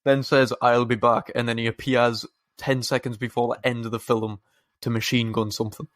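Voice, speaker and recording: A man's voice: medium pitch, little pitch movement, moderate pace, a bit bright, no room sound, clean studio sound. A young man co-hosting a podcast, rambling and swearing in casual banter and laughing as he talks. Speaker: podcast co-host.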